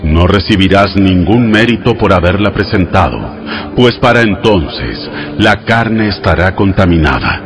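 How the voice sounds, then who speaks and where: A man narrating an audio Bible in a solemn, measured, formal and instructive way over background music. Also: low-pitched, animated. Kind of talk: solemn scripted scripture reading.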